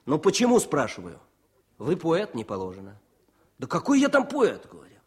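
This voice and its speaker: Radio play: young soldier-poet, modest and cheerful, lively melodic delivery, slightly rough voice. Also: male voice, a little high, brisk, exaggerated voice. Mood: animated storytelling. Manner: expressive, eager, declaims verse. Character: young male war poet and political officer, wounded, modest, cheerful. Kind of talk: Soviet radio drama acting.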